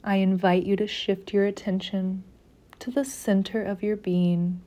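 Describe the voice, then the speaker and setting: Slow, mellow woman guiding meditation. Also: mid-range voice. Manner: soothing, measured, with long pauses. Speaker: meditation guide. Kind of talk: slow guided meditation narration.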